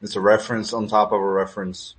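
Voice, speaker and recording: A man's voice: lowish voice, flat delivery, quick pace, a crowd in the background. A man hosting a casual live audio chat, laid-back and joking with slang. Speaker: host.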